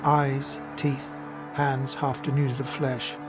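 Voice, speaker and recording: Man as grim storyteller, mid-pitched, solemn, literary, audio drama narration, clear music behind. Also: little pitch movement, slow pace, lightly acted. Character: grave male narrator of a gothic horror tale.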